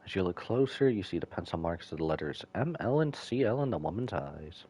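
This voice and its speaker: A man's voice: low-pitched, very animated, brisk, warm tone, husky. A man giving casual, slangy live commentary as he streams a video game.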